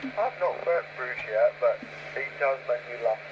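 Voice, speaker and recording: A man's voice: mid-range voice, big pitch swings, normal speed, dull audio. A man joking casually as he chats over CB radio. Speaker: CB radio operator. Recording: very noisy CB radio signal.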